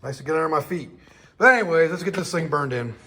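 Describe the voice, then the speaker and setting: Hoarse man talking through shop work. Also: a little high, lively intonation, fast, bright, forceful tone, soft background music. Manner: rambling, easygoing, many fillers. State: hoarse, losing his voice after illness. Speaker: host of a home auto repair channel. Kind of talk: casual talk-through of a shop project.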